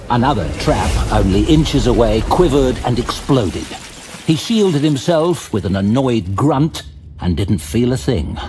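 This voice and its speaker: A man giving dramatic, measured scripted narration for an audio drama, with faint music behind. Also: medium pitch, a little mellow.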